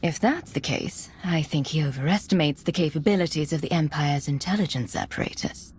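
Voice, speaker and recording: A woman playing a cool, steely imperial spy in a video game, deliberate and provocative. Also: low voice, animated, darkish tone, slight background noise. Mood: cool resolve.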